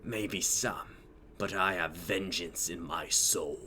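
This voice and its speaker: Slow, husky, menacing dragon king. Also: low voice, pushed and bright, exaggerated voice. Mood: vengeful. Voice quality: breathy growling whisper. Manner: slow, grandiose, archaic speech. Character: vengeful ancient dragon king, imperious and menacing. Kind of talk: dramatic script reading.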